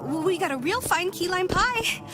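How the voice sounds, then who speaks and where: An actress playing a chirpy, gushing, folksy diner waitress in a video game. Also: female voice, very high voice, animated, unhurried, music in the background.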